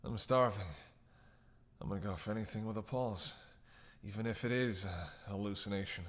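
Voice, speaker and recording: A man playing a starving captive vampire in a roleplay audio drama, sardonic and menacing, speaking haltingly with teasing bite. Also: lowish voice, lightly acted. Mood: strained, hungry. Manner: sardonic, halting, breathy sighs between phrases.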